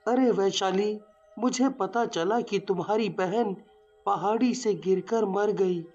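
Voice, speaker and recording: A man's voice: sits high, takes it slowly, darkish tone. An actor, a man, playing an earnest, emotional character in a cartoon moral story, drawing out his words. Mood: sympathetic. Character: slow-speaking, emotional male character in a moral tale. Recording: dull lo-fi sound, faint music behind.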